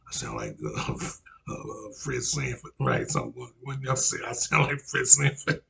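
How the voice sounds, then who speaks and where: Raspy, gravelly-voiced preaching man in his forties. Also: medium pitch, huge pitch swings, measured pace, pushed and bright. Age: 40s. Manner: repetitive, frequent "you know" fillers, lecturing. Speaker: religious teacher. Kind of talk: rambling religious monologue.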